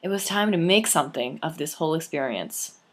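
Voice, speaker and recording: A young woman reads her own memoir aloud, her voice sitting somewhat low for a woman. She tells it with lively, playful emphasis. She reads like a storyteller sharing a personal tale. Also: a little fast. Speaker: author.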